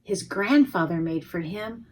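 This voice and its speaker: A woman reads a picture book to preschoolers at an unhurried pace. Her voice is a little husky, and she speaks in simple, expressive phrases with asides to the children. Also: medium pitch. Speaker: preschool education manager reading a storybook. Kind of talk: children's read-aloud with asides to listeners.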